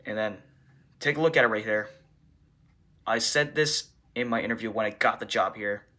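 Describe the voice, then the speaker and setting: Bright-voiced man answering as a football coach. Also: on the low side, normal speed. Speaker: football coach on a press conference call. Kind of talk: press conference answers.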